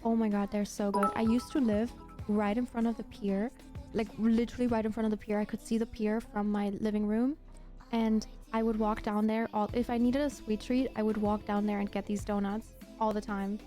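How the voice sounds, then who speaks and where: A woman's voice: medium pitch, little pitch movement, a little fast, warm tone, faint music behind. A woman from Germany, a native German speaker, livestreaming in a casual chat with viewers, gushing and emphatic and often repeating herself. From Germany, grew up in Berlin.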